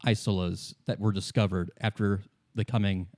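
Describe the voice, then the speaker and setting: Male game master, deep-leaning and soft-edged, recorded close and dry; solemn, deliberate lore exposition. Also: fairly even intonation, dry recording.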